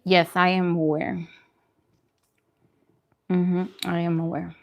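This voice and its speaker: A woman's voice: lowish voice, flat delivery, slow, creaky. A woman chatting casually on a livestream as host while she eats, informal and self-deprecating in her manner. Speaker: vlogger hosting a live mukbang stream.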